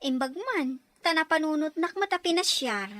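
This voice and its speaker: Animated high-voiced teasing Ilocano wife played by actress. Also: female voice, normal speed, no room sound. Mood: teasing, delighted. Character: teasing, talkative married Ilocano woman friend. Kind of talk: acted family drama dialogue.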